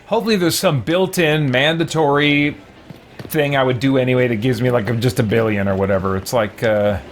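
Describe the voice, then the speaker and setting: A man's voice: mid-range voice, a little fast, a little hiss or hum. A man giving casual let's play commentary, thinking aloud with playful exasperation. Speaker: gaming channel host. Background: game music behind the voice.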